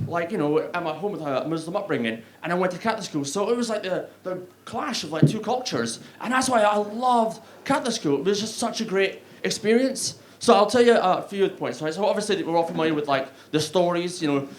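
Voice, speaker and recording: A young Glaswegian man works a comedy club stage with a handheld mic. His voice sits fairly high, and he rambles in a chatty, self-mocking way, chuckling at his own jokes. The live audience and room noise are clearly audible behind him. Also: fairly bright tone. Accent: Glaswegian Scottish. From Scotland. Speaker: stand-up comedian. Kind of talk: stand-up comedy set.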